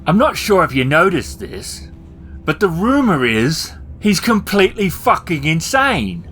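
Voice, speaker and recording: A man's voice: high-pitched, very animated, measured pace, fairly bright tone. A man voicing in-character lines as a tabletop storyteller, dry and matter-of-fact. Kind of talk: casual in-character role-play dialogue. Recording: music bed behind the voice. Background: background music.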